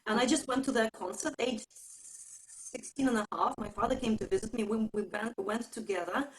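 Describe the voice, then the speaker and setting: Chatty woman, higher voice. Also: rough recording. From Russia. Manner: chatty, pauses with fillers. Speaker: guest musician. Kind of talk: casual interview answers. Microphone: video call.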